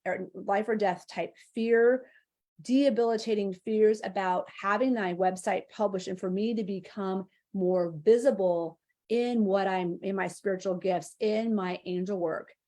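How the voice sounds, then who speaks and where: A woman's voice: medium pitch, steady tone, a little slow, warm tone. A woman speaking as a guest on a live spiritual interview, talking in an earnest, heartfelt way with long flowing phrases. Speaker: interview guest, angel mentor.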